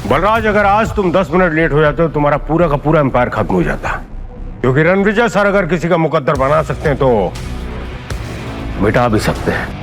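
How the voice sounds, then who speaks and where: A powerful boss's loyal right-hand man in an audio drama. He is stern and coldly threatening in a slightly high voice, with faint music behind. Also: very animated, a little fast. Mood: cold threat. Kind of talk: Hindi audio drama acting.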